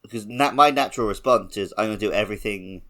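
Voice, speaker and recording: A man co-hosting a gaming podcast, explaining things in a relaxed conversational style. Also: lowish voice, fast talker. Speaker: podcast co-host. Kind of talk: casual chat about video games.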